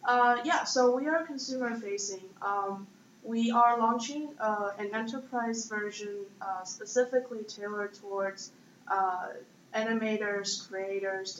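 A woman's voice: mid-range voice, a bit bright. Young Chinese-accented woman in echoey room. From Shanghai, China. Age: 20s. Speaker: startup founder being interviewed. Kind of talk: conversational interview answers. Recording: echoey room, dull sound, faint noise.

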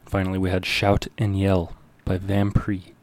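Laid-back low, mellow male radio DJ. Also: monotone, measured pace, slight background noise. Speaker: radio host, music DJ. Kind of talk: casual music radio show patter.

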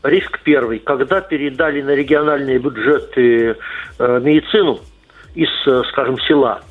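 Man on a tinny radio line. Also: medium pitch. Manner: measured, explanatory, many filler pauses. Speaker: guest lawmaker. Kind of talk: radio interview answers.

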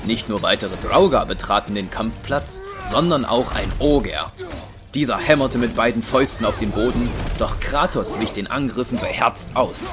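A man's voice: medium pitch, normal speed. A man narrating a fantasy action story from a script in a dramatic storytelling style. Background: clear music.

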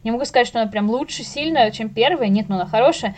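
A woman's voice: fairly high pitch, fast talker, a little mellow. A young woman in a casual podcast chat, telling things in a slangy way and acting out little exclamations. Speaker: podcast guest, animator.

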